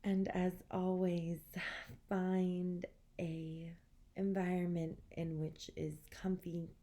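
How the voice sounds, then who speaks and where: A woman leading a guided meditation as an instructor, speaking in a soothing, gentle, measured way with long pauses. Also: fairly low pitch, little pitch movement.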